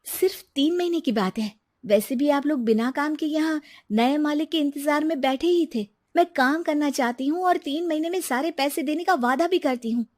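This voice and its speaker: A woman's voice: high voice, normal speed, a little mellow, pitched above the performer's own voice, lightly acted. A woman voicing a determined, earnest young factory owner in a moral story. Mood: confident.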